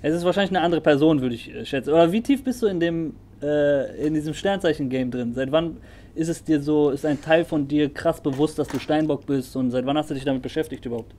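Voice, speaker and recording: A man's voice: on the high side, a little fast, warm tone. A man interviewing a rapper over a phone video call, casual and affirming, asking follow-up questions. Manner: affirming, many short agreements.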